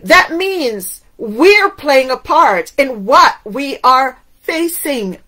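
A woman's voice: high-pitched, lively intonation, extremely slow, bright, forceful tone. A middle-aged woman from Cuba whose first language is Spanish, preaching a sermon to camera in an emphatic way, asking many rhetorical questions. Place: indoors in front of a waterfall backdrop.

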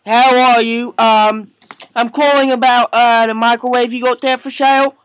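A man's voice: extremely high pitch, level tone, normal speed, fairly bright tone. A man voicing a pushy, hesitant British immigrant on a prank phone call, with a put-on accent and wheedling, rambling manner. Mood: pushy, indignant.

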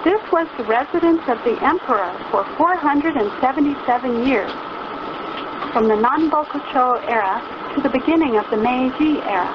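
A woman reading scripted bus announcements for tourists in a measured, formal way. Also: sits high, lively intonation. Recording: muffled recording inside a noisy moving bus. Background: loud vehicle noise.

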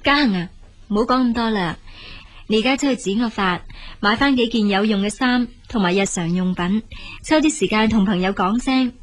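An actress playing a practical, dutiful elder sister in a Cantonese radio drama, frank, brisk and reassuring. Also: female voice, medium pitch, natural voice. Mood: brisk, caring.

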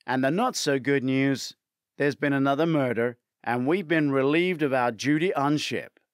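A man voicing a brisk, matter-of-fact male investigator with a wry streak in an audiobook. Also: mid-range voice, dry recording, studio quality, natural voice. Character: brisk, teasing male investigator colleague delivering bad news.

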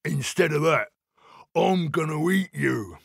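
A man voicing a huge, greedy storybook troll with a booming, bellowing, threatening delivery. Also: lively intonation, creaky, a bit bright, slightly rough, no echo, clean studio sound, heavily acted. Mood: hungry, threatening. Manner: bellowing, threatening, drawn-out calls. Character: huge, menacing, greedy bridge troll, male, loud and hungry.

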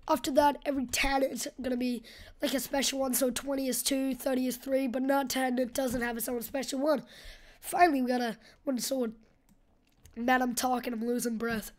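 A woman's voice: high voice, darkish tone. A young woman with a scratchy voice giving casual, rambling, slangy gameplay commentary for a video. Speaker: gaming video host.

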